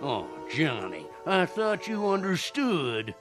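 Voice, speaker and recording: A man's voice: a little high, huge pitch swings, slow pace, music in the background, exaggerated voice. An actor playing a fervent old Southern conjure man in a radio play, with a folksy, theatrical rustic way of talking. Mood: aggrieved, folksy. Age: older. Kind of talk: dramatic radio play acting.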